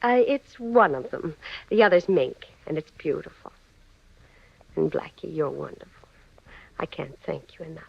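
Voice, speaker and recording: Sweet-talking 1940s radio moll, muffled recording. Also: female voice, low voice, expressive, moderate pace, creaky, pauses often, husky, dry recording, full character voice. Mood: flattering, scheming. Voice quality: coaxing, gushing delivery. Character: sweet-talking, clingy female accomplice of a crook.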